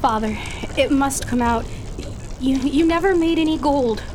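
An actress playing an earnest, devoted daughter in a radio drama, imploring and emotional. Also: female voice, sits high, unhurried, a little mellow, slight background noise. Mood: anguished confessing.

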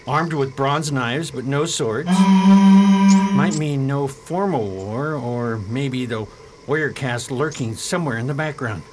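A man's voice: mid-range voice, measured pace, natural voice. A man playing a watchful space marine major in a science fiction radio drama, with an emphatic military manner. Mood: pondering. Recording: very noisy amateur drama recording.

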